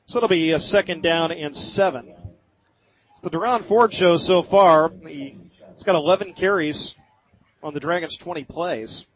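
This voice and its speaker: A man doing live radio play-by-play of high school football in short, clipped phrases. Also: moderate pace, fairly bright tone, poor audio. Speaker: play-by-play sports announcer.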